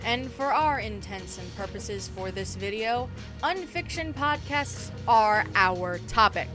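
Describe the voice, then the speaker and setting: A woman's voice: mid-range voice, expressive, slow pace, over music. A woman narrating a scripted video essay with wry, informal asides and emphatic phrasing.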